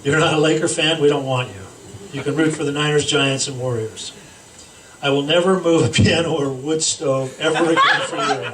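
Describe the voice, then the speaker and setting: A distant, noisy recording catches a man giving a roast speech in a room with plenty of echo. He reads it aloud in a fairly high voice, setting up his teasing lines with deliberate pauses. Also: fairly bright tone. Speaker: speaker reading a roast tribute aloud. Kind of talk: comedic roast speech read aloud to a gathering. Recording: echoey room, distant mic, noisy background.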